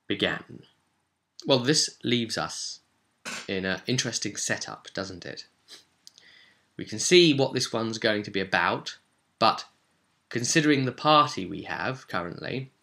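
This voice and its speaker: A man narrating the story setup for a tabletop roleplaying game as game master, in a measured, dramatic way. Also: mid-range voice, a bit bright.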